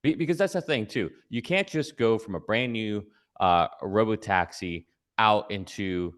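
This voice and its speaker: A man's voice: lowish voice, measured pace. A man giving casual conversational analysis as a guest commentator, explaining step by step and checking in with "right?". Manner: explanatory, tags points with "right?".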